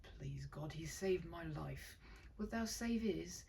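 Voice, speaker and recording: Old veteran duke played by a woman narrator for a storybook: intense, fervent, fry-edged, faint noise behind. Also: lowish voice, animated, slow, a little mellow, recorded from a distance, full character voice. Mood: fervent pleading. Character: battle-hardened older duke, impetuous, intense, warhorse father.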